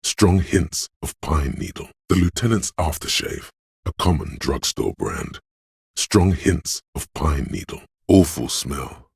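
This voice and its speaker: A man voicing the wry inner voice of a detective's sense of smell in a game, with a slow, sardonic delivery. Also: very animated, darkish tone, no room sound, exaggerated voice. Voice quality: deep gravelly husky voice. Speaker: voice actor for game narration. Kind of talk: scripted game voice lines. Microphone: close studio mic.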